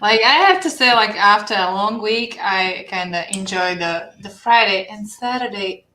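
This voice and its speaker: A woman's voice: medium pitch, moderate pace, bright, forceful tone, echoey room, a little hiss or hum, poor audio. A woman from Slovakia with a Slovak accent, chatting casually and laughing easily as a guest artist. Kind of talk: casual chat.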